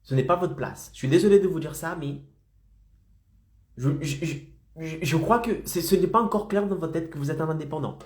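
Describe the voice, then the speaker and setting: A man's voice: on the high side, brisk, darkish tone, reverberant. A man with a slight head cold, coaching freelancers in a motivational live stream and keeping his audience engaged with frequent check-ins. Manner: insistent, keeps checking in with "d'accord?" and "ok?".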